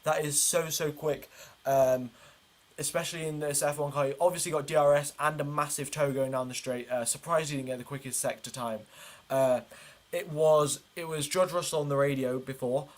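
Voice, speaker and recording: A man's voice: on the high side, fairly even intonation, normal speed. A man hosting a livestream, giving informal, chatty commentary on a motor race and explaining it as he goes.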